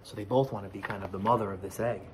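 A man's voice: lowish voice, fairly even intonation, racing, background noise. A man in his forties with an American accent, reading a picture book aloud expressively with explanatory asides, as a teacher in a classroom. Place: school classroom, indoors. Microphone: camera or phone a short distance away.

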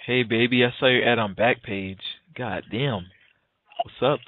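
A man's voice: medium pitch, fairly even intonation, unhurried. Hesitant, slangy young man on the phone. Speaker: prank caller. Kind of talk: casual phone prank, feigned sincerity. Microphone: telephone call. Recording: narrow, tinny phone line.

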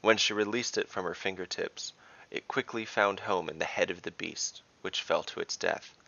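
A man with a fairly low, bright voice narrates and referees a fantasy game. His delivery has a gravelly creak at the edges, and he sounds like the one steering the tale. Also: fairly even intonation, moderate pace, highs cut off. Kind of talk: scripted story narration and tabletop game refereeing.

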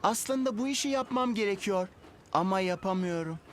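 A man's voice: high voice, animated, normal speed, slight background noise. A man voicing an eager, naive cartoon railcar with an earnest, wistful way of talking. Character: eager, naive male propeller railcar longing to fly. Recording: loud music under the voice.

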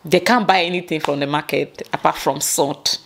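A woman's voice: low voice, fairly even intonation, normal speed. A Nigerian woman in her thirties from the Niger Delta, talking to camera in an impassioned, pleading vlog, sometimes slipping into Pidgin phrases. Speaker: vlogger. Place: small indoor room. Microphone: clip-on lapel mic.

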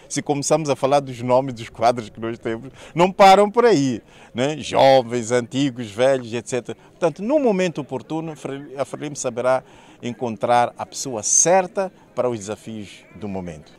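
A man's voice: mid-range voice, a little mellow. An older man with a Mozambican accent, a party official answering a reporter outdoors through a face mask into a handheld microphone. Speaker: party official being interviewed. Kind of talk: press interview. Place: outdoors in a paved courtyard.

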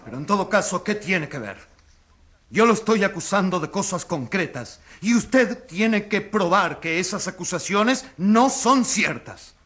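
An actor playing a combative journalist who accuses a politician with insistent questions in a radio courtroom drama. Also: male voice, sits high, expressive, measured pace, a bit bright. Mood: accusing, indignant.

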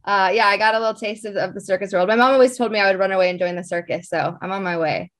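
A young woman with a mid-pitched voice talks casually as an interview guest. She rambles informally through personal stories, leaning on little filler words as she goes. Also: very fast, a bit bright. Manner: informal, rambling, frequent "like" and "you know".

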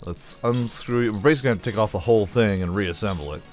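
A man's voice: on the low side, fast talker, darkish tone, faint music behind. A man casually commenting on a mechanic game he plays, joking and talking to the parts as he removes them. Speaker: gameplay commentator. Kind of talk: casual let's play commentary.

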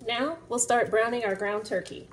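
Young female home cook, relaxed and chatty, slightly high voice, recorded from a distance with audible noise. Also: moderate pace, over loud music. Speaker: home cooking host. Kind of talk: casual cooking narration. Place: home kitchen. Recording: distant mic, noticeable room noise.

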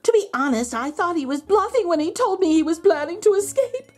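A woman's voice: very high voice, lively intonation, a little fast, darkish tone, pitched above the performer's own voice. A woman voicing an upset, fond female dog owner from a storybook, in a fretful lilt. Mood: worried, upset.

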